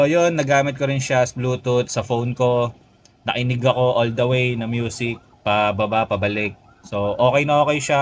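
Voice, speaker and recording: A man's voice: mid-range voice, slight background noise. A Filipino man giving a casual, conversational product review as a motovlogger, speaking directly to his viewers. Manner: conversational, addresses viewers, often tags phrases with "no?".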